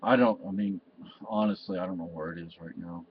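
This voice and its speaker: A man's voice: low voice, steady tone, fairly quick, husky. Older man rambling into a webcam. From Spain. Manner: meandering, emotional, lots of "you know" and restarts. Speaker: vlogger. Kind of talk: rambling personal monologue to camera. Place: cluttered room at home, indoors. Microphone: webcam in front of him. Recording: slightly dull lo-fi webcam audio.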